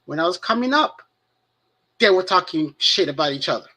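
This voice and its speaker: High-voiced French-accented man. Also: expressive, brisk, pushed and bright. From France. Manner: conversational, frequent "right?" and "you know" tags. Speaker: podcast co-host. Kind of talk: casual discussion.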